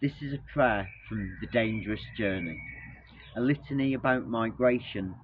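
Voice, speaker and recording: A man leads a litany prayer in a solemn, measured way. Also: fairly low pitch. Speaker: prayer leader. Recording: tinny, phone-like line with faint hiss.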